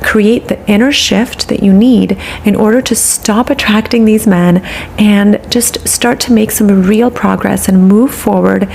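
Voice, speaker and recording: A woman's voice: mid-range voice, steady tone, a little slow, creaky, a little mellow, a little hiss or hum. A woman hosting a dating advice podcast, speaking in an encouraging coaching tone while stuffy from a cold. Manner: encouraging, coaching tone with repeated phrases. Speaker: podcast host.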